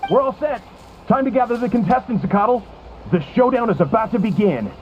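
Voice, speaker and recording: An actor playing a contest organizer who briskly calls the contestants together. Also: male voice, very high voice, lively intonation, muffled recording, soft background music.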